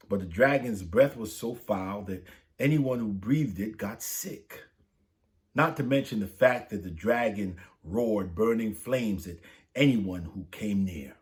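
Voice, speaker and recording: A man reading a saint's story aloud for children, lifting his voice for the quoted lines. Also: lowish voice. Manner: lively, lifts voice for dialogue. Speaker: storybook reader.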